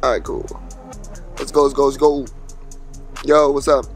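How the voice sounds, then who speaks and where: A man's voice: mid-range voice, flat delivery, normal speed, faint music behind. A young American man in his twenties, casually chatting and joking with strangers on a video call app. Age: 20s. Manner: playful, teasing, laughs often.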